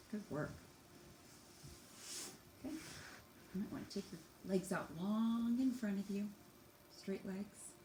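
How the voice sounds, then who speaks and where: A woman teacher guiding children through yoga stretches with simple, encouraging step-by-step cues, talking as she demonstrates. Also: mid-range voice. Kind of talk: instructional exercise guidance, demonstrating while talking. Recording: echoey room, faint background noise.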